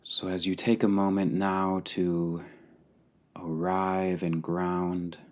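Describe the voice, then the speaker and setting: A man leading a guided meditation calmly and deliberately, pausing between short phrases. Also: low-pitched. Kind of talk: guided meditation, slow and spacious.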